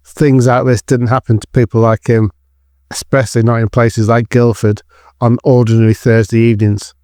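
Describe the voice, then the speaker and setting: A man's voice: mid-range voice, level tone, moderate pace, mellow, smooth, dry recording, studio quality. A man narrating a scripted dramatic story as the narrator of an audio drama.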